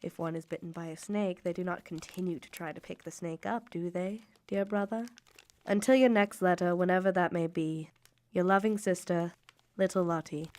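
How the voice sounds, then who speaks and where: An actress playing a wounded, reproachful young Victorian mother in a radio drama, reading letters in formal, measured, emotive diction. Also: on the low side, steady tone, darkish tone, natural voice. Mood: reproachful, resigned.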